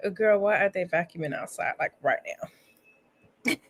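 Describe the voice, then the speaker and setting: A woman co-hosting a casual online chat from a home room over a video call, teasing and quick to laugh. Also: fairly low pitch. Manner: giggly, teasing banter. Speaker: co-host.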